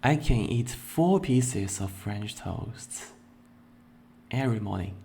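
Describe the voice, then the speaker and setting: Slow, low-voiced male streamer. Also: vocal fry, slight background noise. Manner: slow and deliberate, with playful excited bursts. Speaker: virtual streamer. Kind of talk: casual self-introduction and Q&A.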